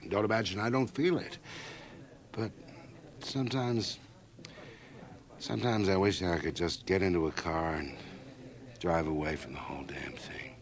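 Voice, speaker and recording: Businessman, older, radio drama: deep, grumbling, world-weary skeptic, old cassette recording. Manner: blunt, grumbling. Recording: 1980 radio drama, home cassette transfer.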